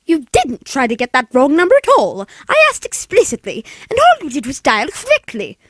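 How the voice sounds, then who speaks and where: A man's voice: very high voice, wildly swooping pitch, moderate pace, a bit bright, no echo, clean studio sound, heavily acted. A man voicing a demanding, hysterical wealthy invalid woman in an old radio play, imperious and exasperated. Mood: indignant demanding. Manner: imperious, exasperated, breathless urgency. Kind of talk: 1940s radio play acting.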